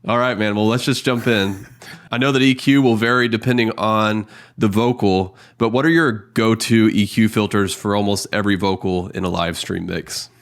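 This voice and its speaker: A man's voice: fairly low pitch, fairly even intonation, normal speed. A man hosting an interview about church sound with a friendly, scripted-sounding delivery. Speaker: host.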